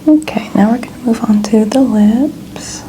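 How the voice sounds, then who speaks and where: A woman in her twenties speaking in a soft, breathy near-whisper, chatting casually and gently as an ASMR host. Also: a little high, normal speed, a little hiss or hum, poor audio. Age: 20s. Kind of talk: soft-spoken ASMR, casual personal chat.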